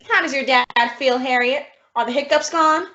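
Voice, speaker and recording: A woman's voice: high voice, moderate pace, pushed and bright. A woman playing a wry, practical English teacher in an old-time radio comedy, with dry delivery. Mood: helpful. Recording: dull lo-fi radio drama audio.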